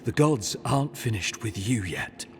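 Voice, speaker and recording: A very low-pitched male assassin from a video game, devout and repentant. He speaks like a grave orator, earnest and formal, with music clearly playing behind him. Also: big pitch swings, moderate pace. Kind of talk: dramatic video game dialogue.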